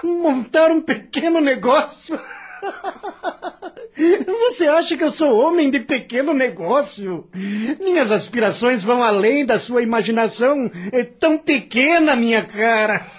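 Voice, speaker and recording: A man's voice: very high-pitched, animated, measured pace, husky, full character voice. An actor playing a bitter, boastful, hard-drinking man in a radio drama, haughty and theatrical, with a Brazilian accent. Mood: scornful, haughty.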